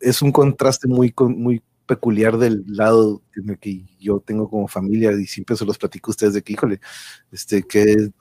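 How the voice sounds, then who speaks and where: A man's voice: lowish voice, flat delivery, normal speed, warm tone, no room sound. A man with a Mexican accent hosting a casual family chat on a livestream, telling anecdotes in a chuckling, conversational way. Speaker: host.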